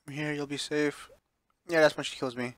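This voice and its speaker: Guy streaming a visual novel, reading it out loud, trips on words n fixes them, dry close mic. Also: on the high side, flat delivery, moderate pace, no room sound. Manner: stumbles over words and corrects himself while reading. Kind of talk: live playthrough read-aloud with offhand reactions.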